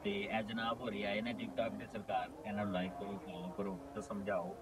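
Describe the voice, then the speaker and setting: A man's voice: fairly low pitch, steady tone, normal speed. A man, a guest casually asking followers for their support. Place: outdoors at night. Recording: distant mic, loud traffic noise.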